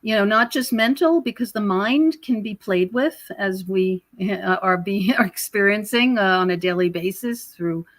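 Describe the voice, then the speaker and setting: A middle-aged or older woman speaking as a podcast guest, explaining spiritual ideas conversationally in a rambling way with pauses and repeated phrases. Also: medium pitch, no room sound. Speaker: podcast guest, researcher and author. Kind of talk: conversational spiritual commentary in an interview.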